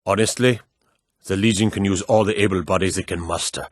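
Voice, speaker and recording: Proud northern warrior, low dry voice, firm emphatic delivery, fantasy game dialogue. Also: male voice, fairly even intonation, fairly quick, dry recording. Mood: dutiful, weary. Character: gruff, proud fantasy Nordic warrior and guard. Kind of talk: dramatic video game dialogue.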